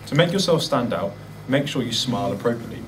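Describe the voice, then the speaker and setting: A man's voice: mid-range voice, lively intonation, fast talker, recorded from a distance, music in the background, rough recording. A man giving an instructional presentation, as a presenter of practical advice. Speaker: presenter giving interview tips.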